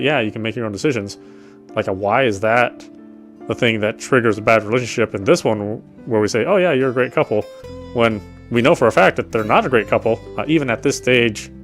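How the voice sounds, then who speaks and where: A man's voice: medium pitch, a bit bright. A man doing casual let's play commentary and reading a game's story text aloud. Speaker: game streamer. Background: game music.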